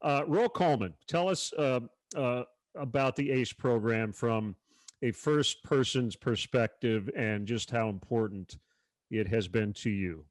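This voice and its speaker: A man's voice: medium pitch, a little slow, no room sound. A man working as an announcer, hosting a virtual sports panel discussion. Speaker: panel host, baseball announcer.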